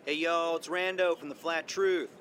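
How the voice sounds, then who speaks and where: A man's voice: on the high side, fairly even intonation, normal speed, a bit bright, a little hiss or hum, lightly acted. A man playing a folksy, indignant, self-important conspiracy video host in an audio comedy. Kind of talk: online video rant, acted in audio fiction.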